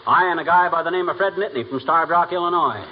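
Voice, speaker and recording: An actor playing a cocky, lazy small-town American husband in a 1940s radio comedy, folksy, slangy and boastful. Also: male voice, a little high, quick pace, lightly acted. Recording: noisy, muffled 1940s radio recording.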